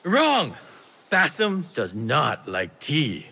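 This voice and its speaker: Lively high-pitched old merchant. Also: male voice, very slow, creaky, breathy edge, heavily acted. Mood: delighted, effusive. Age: older. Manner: theatrical, exclamatory, teasing. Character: lively old Chinese tea merchant, wily and affectionate. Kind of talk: video game character acting.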